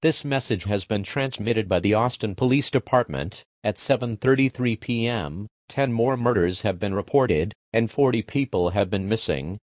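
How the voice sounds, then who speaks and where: A man's voice: lowish voice, no room sound, clean studio sound. A man reading a scripted mock emergency broadcast as a formal, official announcer.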